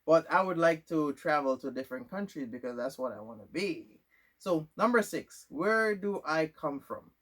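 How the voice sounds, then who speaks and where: A man's voice: on the high side, animated, normal speed, a bit bright. A young man from Jamaica, answering questions about himself in a loose, rambling vlog monologue full of fillers and restarts. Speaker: vlogger. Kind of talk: casual Q&A monologue.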